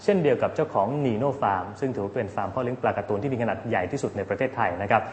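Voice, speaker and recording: A man's voice: fairly low pitch, rapid-fire, darkish tone, slight background noise. A man presenting a scripted television magazine programme to viewers. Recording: echoey hall with long reverb.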